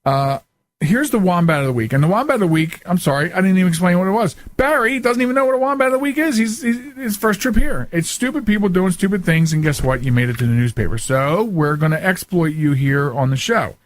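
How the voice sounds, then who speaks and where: Chatty, higher-pitched male talk show host. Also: animated, quick pace. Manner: chatty, digressive, self-interrupting. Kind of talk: casual, rambling radio-style talk show monologue.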